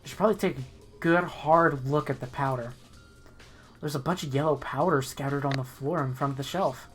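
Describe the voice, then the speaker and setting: A woman with a very low-pitched voice hosts a casual playthrough. She reads the protagonist's lines aloud and chats loosely, sometimes swearing. Music plays faintly behind her. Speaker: streamer reading a visual novel's protagonist aloud. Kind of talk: casual game playthrough, reading dialogue aloud.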